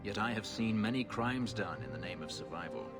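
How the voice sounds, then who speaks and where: A man playing a bitter, guarded escaped elf slave in a video game, speaking formally and gravely in a weighty voice. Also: lowish voice, lively intonation, natural voice. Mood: suspicious. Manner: formal, grave, measured speech. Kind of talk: dramatic video game acting. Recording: music playing behind the voice.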